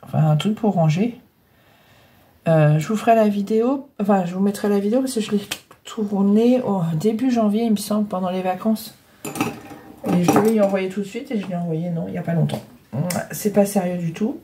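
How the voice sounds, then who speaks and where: A woman's voice: medium pitch, brisk, mellow, slight background noise. A middle-aged woman with a cold and a blocked nose, chatting casually to viewers about her scrapbooking, hesitant and full of fillers. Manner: hesitant, many fillers and restarts. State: congested with a cold, breathing heavily. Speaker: scrapbooking vlogger. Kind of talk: casual rambling chat to viewers. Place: home craft room.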